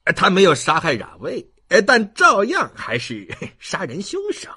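A narrator voicing a sly, wheedling male swindler in an audiobook, stalling hesitantly with drawn-out ers. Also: medium pitch, huge pitch swings, pushed and bright, husky, voice pushed up, heavily acted. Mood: sly, insinuating. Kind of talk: audiobook character acting.

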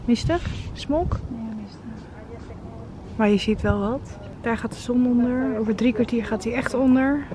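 A young woman from the Netherlands chatting casually and playfully for a travel vlog, excitable and blunt. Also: a little high, measured pace, long pauses. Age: young adult. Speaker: vlogger. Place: moving car and outdoor streets and viewpoints. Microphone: handheld camera at arm's length. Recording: music over handheld vlog audio. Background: music, car and street noise.

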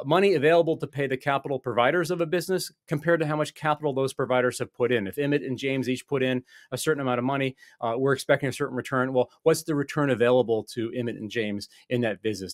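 A man's voice: mid-range voice, fast talker, hardly any pauses, dry recording, studio quality. A man in his forties, a guest on a video call, explaining investing with many restarts and fillers. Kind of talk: conversational interview. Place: small office with wall panels. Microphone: desk microphone on video call.

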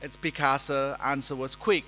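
Guy in his 40s preaching at a church lectern, kinda high bright voice, long echoey hall, faint hum. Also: fairly even intonation. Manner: measured, emphatic preaching with hand gestures at a lectern. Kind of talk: sermon. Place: church sanctuary, indoors. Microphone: lectern microphone.

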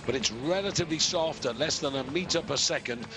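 A man giving live, measured commentary on a ski jumping competition, explaining scores and distances with pauses and fillers. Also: a little high. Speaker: sports commentator.

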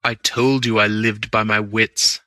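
Guy reading as a smooth cool gentleman thief, low and hushed, bright-edged voice, dry sound. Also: flat delivery, unhurried, dry recording. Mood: candid. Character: suave, cool gentleman burglar, quietly amused. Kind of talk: audiobook character voice.

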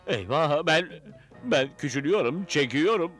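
A man's voice: fairly high pitch, lively intonation, moderate pace, close to an everyday voice. A man playing a grumpy, scheming middle-aged evil wizard in a cartoon dub, whining and ranting theatrically. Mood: alarmed, angry. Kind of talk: animated cartoon dub, theatrical villain acting. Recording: loud cartoon music behind.

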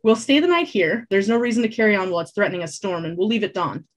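A woman voicing a practical, weary older man who lays out plans in a plain, matter-of-fact way, with a slightly husky tone. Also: medium pitch, fast, close to an everyday voice.

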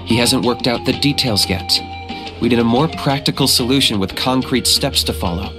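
A man's voice: medium pitch, normal speed, music in the background, close to an everyday voice. A man voicing a cool, pragmatic young hunter in a video game, terse and matter-of-fact. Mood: cool, practical.

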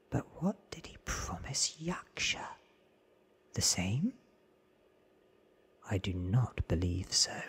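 A man reads fiction in a very breathy half-whisper. His pace is slow and deliberate, close on the microphone, in a soft ASMR storytelling style. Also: on the low side. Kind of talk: whispered ASMR reading of a novel. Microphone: close microphone with foam windscreen.

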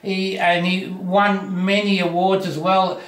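A man's voice: high voice, flat delivery, takes it slowly, a bit bright. An older Australian man, an auctioneer, enthusiastically rambling about items while walking through a house and filming. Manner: enthusiastic, rambling, self-joking. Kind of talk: casual walkthrough chat. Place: large house, indoors. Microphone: handheld phone camera. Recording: echoey rooms, faint noise.

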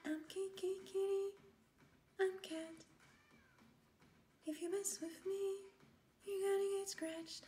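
Woman, playful singing, very high pitch, mellow tone, music in the background. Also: flat delivery, slow pace, pauses often. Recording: music behind the voice.

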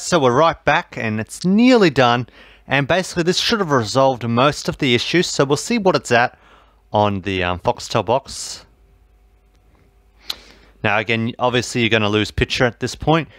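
A man explains a TV box reset in a loose, rambling way. His voice sits at an ordinary middle pitch, and he keeps circling back with fillers and restarts. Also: a bit bright. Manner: rambling, filler-heavy, repeats "basically" and "obviously". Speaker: tech tutorial host. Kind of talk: casual how-to voice-over.

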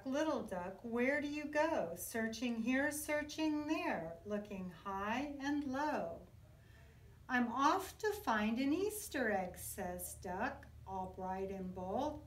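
A middle-aged woman reads a picture book to young children with a very lively, sing-song delivery. She takes her time over each phrase, like a teacher telling a story. The room echoes around her voice, picked up from a short distance. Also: fairly high pitch. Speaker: kindergarten teacher. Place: indoor classroom. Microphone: camera a few feet away. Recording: roomy echo, distant microphone.

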